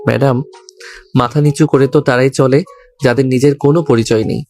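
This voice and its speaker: A man's voice: mid-range voice, fairly even intonation, brisk, a little mellow, close to an everyday voice. A man playing a cheeky young servant husband in a romantic audio story, teasing and mock-deferential. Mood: defiant.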